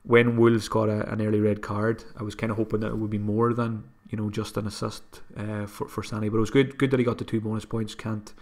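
A man's voice: fairly low pitch, little pitch movement, fairly quick, hardly any pauses, darkish tone. A man hosting a solo podcast, talking casually and a bit sniffly from a cold, with frequent restarts and self-deprecating asides. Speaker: podcast host. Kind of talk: solo fantasy football podcast.

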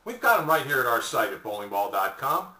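An older man giving a folksy, conversational sales pitch to camera as a product presenter, seated in a small room. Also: very animated, a little fast, bright, forceful tone. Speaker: product presenter for an online shop. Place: small indoor room at home or office, seated. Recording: echoey room, distant mic.

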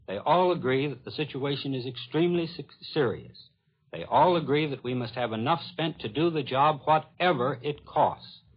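Man, American accent, husky voice, earnest emphatic radio host, 1940s commentary, muffled lo-fi broadcast. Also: mid-range voice. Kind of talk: 1940s radio public-affairs commentary, extemporaneous summing up.

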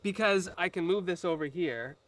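A man's voice: high-pitched, moderate pace. A man in his forties co-hosting a comic cooking show outdoors in a garden, teasing his partner and playing up his own exasperation, recorded on a lapel mic. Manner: teasing, exaggerated, playfully exasperated. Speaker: co-host, TV personality.

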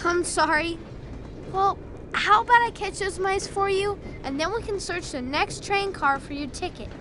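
A woman's voice: extremely high pitch, moderate pace, pushed and bright, a little hiss or hum. A woman voicing a brave, earnest young boy in a video game, eager and reassuring. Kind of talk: video game cutscene acting.

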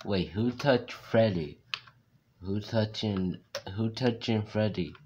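A man voicing a sinister female animatronic chicken mascot, speaking slowly and stuttering on his threats. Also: on the low side, dull audio, noisy background. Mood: taunting. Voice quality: stutters on words, drawn out. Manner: slow, taunting delivery. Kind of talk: fan-made game character voice lines. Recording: loud keyboard typing and clicks behind. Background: keyboard typing and clicks.

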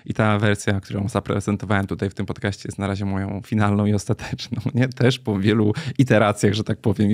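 A man speaking as a podcast guest, explaining his work in a reflective, halting, conversational way. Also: on the low side, a little mellow, no echo, clean studio sound. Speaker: podcast guest, brand strategist. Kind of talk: thoughtful interview conversation.

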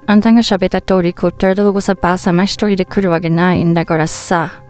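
A woman playing a sharp, suspicious young hacker in a comedic voice drama, speaking in Tsugaru dialect in a blunt, scolding manner. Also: fairly low pitch, fairly even intonation, quick pace, soft background music, lightly acted. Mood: menacing.

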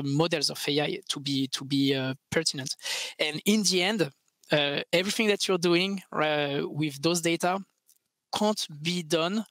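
An online tech panel, where a French-accented man with a bright, forceful voice drives his points home close on a headset. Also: a little high, dry recording. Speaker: panelist, tech research expert. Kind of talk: panel discussion answer. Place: open-plan office, indoors. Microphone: headset boom mic.

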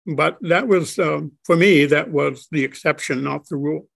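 An older man speaking slowly and haltingly, with many pauses and restarts, as an interview guest on a video call from his home study. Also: a little high, vocal fry, a bit bright, no echo, clean studio sound. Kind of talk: reflective conversation.

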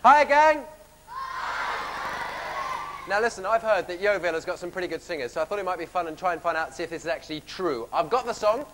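A man's voice: high voice, lively intonation, brisk, pauses often, a loud crowd, heavy background noise. A young man playing a chirpy, eager servant in pantomime, bantering with the audience from a theatre stage. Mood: cheery, coaxing. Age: young adult. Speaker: pantomime comic leading audience singalong. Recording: reverberant theatre hall recording with music.

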